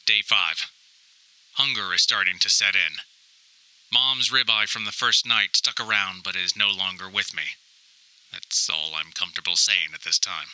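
A man's voice: low voice, moderate pace, pushed and bright, no echo, rough recording. A man playing an anxious, wry grown son recording a wordy, mock-dramatic audio diary. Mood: weary, wry. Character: anxious, wry grown son lost in hoarder mother's house. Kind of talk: scripted comic audio diary monologue.

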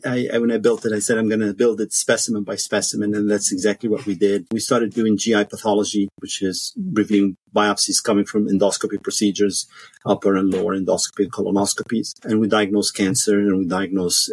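A middle-aged man from Egypt, an interview guest telling his career story in short, measured statements. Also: fairly low pitch, husky, low-quality recording. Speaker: podcast guest, pathologist.

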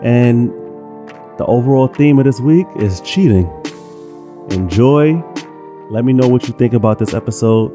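A man's voice: mid-range voice, animated, measured pace, long pauses, dark tone, faint music behind. A man hosting a podcast, talking in a laid-back, slangy way with a New York accent, a little nasal from a sinus infection. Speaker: podcast host. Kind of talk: casual podcast chat.

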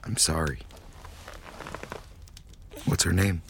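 A man's voice: sits low, slow, vocal fry, a little hiss or hum, exaggerated voice. An actor playing a conflicted, earnest man with a pleading manner in an audio drama. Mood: torn, grave. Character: conflicted, earnest man smuggling people from an oppressive regime.

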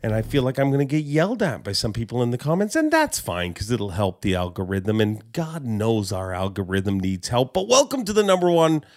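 Lively, slightly hoarse male podcast host. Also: medium pitch, fairly quick, hardly any pauses. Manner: joking, rambling, self-mocking. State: a little hoarse. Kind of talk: casual podcast chat.

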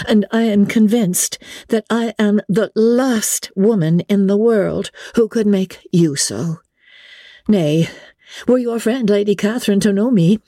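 A woman voicing a spirited young gentlewoman, flustered and emphatic yet polite, in a dramatic reading of a classic novel. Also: medium pitch, no room sound, clean studio sound, pitched above the performer's own voice, close to an everyday voice.

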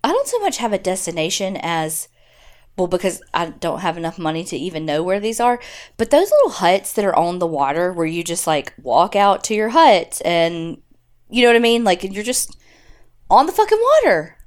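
A woman's voice: lowish voice, big pitch swings, moderate pace. A woman co-hosting a podcast, chatting casually with plenty of swearing and self-deprecation. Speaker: podcast co-host. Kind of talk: casual, profane banter.

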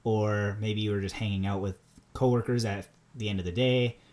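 Minnesota guy on a podcast, lowish voice, chill and chatty, laughs a lot. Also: fairly even intonation, normal speed, darkish tone. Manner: easygoing, laughs often, repeats words when starting sentences. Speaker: podcast co-host. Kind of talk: casual chat.

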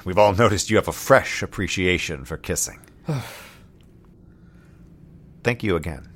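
Worn-out sardonic man in a sci-fi audio play, very deep voice, understated. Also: animated, measured pace, fairly bright tone, full character voice. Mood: weary, wry. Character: weary, wry, heartsick man in a space colony. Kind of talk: scripted audio drama acting.

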